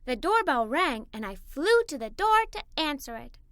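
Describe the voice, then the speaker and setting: A woman reading a scripted children's story aloud in an animated, expressive way. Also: high voice, moderate pace, dry recording, studio quality. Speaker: story narrator. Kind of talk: scripted children's story read-aloud, first person as a young girl.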